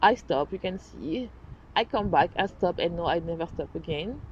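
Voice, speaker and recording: A French-accented woman talks through her own podcast with earnest energy, often backing up to correct herself. Her voice sits a little low. The recording is dull and lo-fi with a faint hiss underneath. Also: normal speed. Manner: earnest, animated, restarts and self-corrections. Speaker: podcast host. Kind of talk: casual solo podcast talk. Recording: dull lo-fi sound, faint noise.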